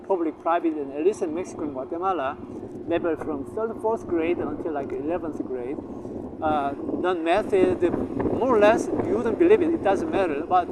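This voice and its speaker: A man whose first language is Korean, talking off the cuff to his viewers, halting, with many fillers and repeated words, boastful. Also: sits high, background noise. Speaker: vlogger promoting language courses. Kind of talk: unscripted talk to camera.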